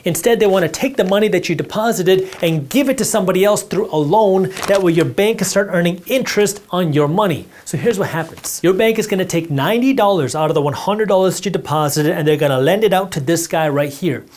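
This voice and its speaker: A man's voice: high-pitched, quick pace, hardly any pauses, darkish tone, slight background noise. A man whose first language is Punjabi, teaching personal finance in a direct, emphatic way while drawing on a board and speaking straight to the viewer.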